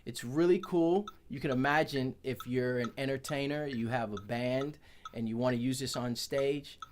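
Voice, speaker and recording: Man, drummer, casual gadget review, chatty, self-correcting, mid-pitched voice, talking in an office. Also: moderate pace, slight background noise. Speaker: percussionist reviewing a metronome. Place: his office.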